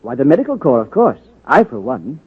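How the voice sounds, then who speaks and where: A man's voice: mid-range voice, wildly swooping pitch, brisk, husky, heavily acted. An actor playing an exasperated, protective male American doctor, blunt and blustery, in 1940s radio drama style. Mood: dismissive, exasperated. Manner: emphatic, blustery. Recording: muffled old radio recording, phone-like band.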